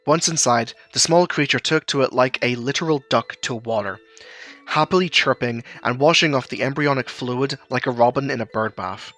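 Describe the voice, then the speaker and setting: Bright-voiced man narrating an audio story. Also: medium pitch, moderate pace, soft background music.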